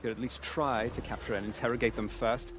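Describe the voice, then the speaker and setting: An actor playing a stern, careful, commanding Allied army Major in a cinematic war video game. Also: male voice, mid-range voice, steady tone, a little fast, natural voice. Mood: curt, businesslike.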